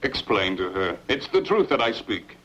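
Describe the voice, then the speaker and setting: A man's voice: on the low side, sing-song, moderate pace, bright, forceful tone, exaggerated voice. A man acting an arrogant young barbarian warrior prince, swaggering and blunt, in a dubbed sword-and-sandal film. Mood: smug. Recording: dull lo-fi dub with faint noise.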